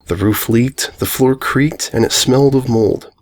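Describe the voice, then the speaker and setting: A man narrating a rhyming children's story in a measured, singsong cadence. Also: medium pitch, darkish tone. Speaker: story reader.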